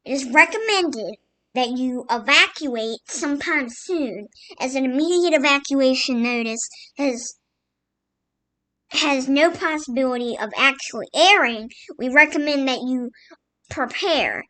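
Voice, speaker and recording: A woman with a high voice delivers a mock emergency alert. She reads slowly and formally, halting between phrases like a scripted civil announcement. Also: animated, a bit bright.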